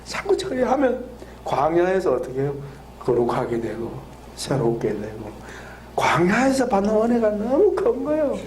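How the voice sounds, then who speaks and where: A man preaching a lively sermon, laughing often and drawing call-and-response answers from the congregation with frequent questions. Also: sits high, moderate pace, a little hiss or hum. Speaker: pastor. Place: church hall with congregation.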